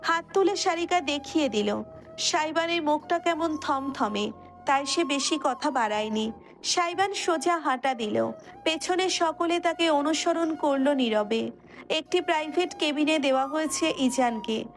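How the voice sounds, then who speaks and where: A woman's voice: high voice, unhurried, soft background music. A woman narrator reading a romance story as dramatic scripted narration.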